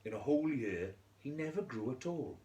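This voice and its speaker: A man storyteller narrating a scripted fairy tale for children, theatrically, in measured phrases with dramatic pauses. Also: medium pitch, very animated, dark tone, highs cut off. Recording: echoey room with faint noise.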